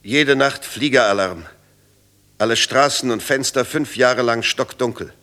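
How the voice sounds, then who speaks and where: An anguished witness of wartime nights. His medium-pitched voice is tense and forceful, listing horrors in intense fragments in a radio play monologue. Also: measured pace. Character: anguished male witness of wartime air raids.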